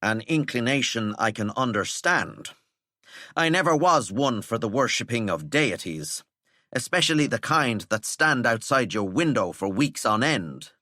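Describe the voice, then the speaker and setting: A man voicing a stern, dry middle-aged teacher who speaks slowly and sardonically. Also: medium pitch, animated, sharp tone, no echo. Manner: slow, clipped, sardonic.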